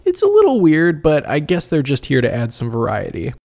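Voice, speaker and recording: A man's voice: a little high, wildly swooping pitch, brisk, vocal fry, darkish tone. A man narrating a scripted review as a video host, conversational with wry asides.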